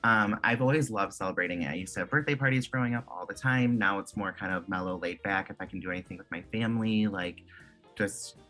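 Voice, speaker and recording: A young man from Michigan in his twenties, talking in a loose, chatty way and leaning on "like" constantly. His voice sits a bit low but sounds bright and forward. Faint music hums underneath. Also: fast. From Michigan, USA. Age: 20s. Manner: chatty, lots of "like" fillers. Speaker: guest. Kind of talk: casual interview chat.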